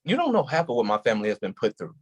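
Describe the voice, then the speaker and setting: A man's voice: medium pitch, wildly swooping pitch, rapid-fire, husky. An actor playing a shaken, grieving male police officer in a staged play reading, raw and intense. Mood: anguished.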